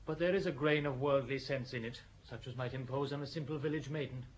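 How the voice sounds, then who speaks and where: A man's voice: mid-range voice, fast, dull audio, slight background noise, natural voice. A man playing an earnest, pitying churchman in an audio drama, speaking in a solemn, persuasive manner. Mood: grave reproof. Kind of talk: audio drama acting of a stage play.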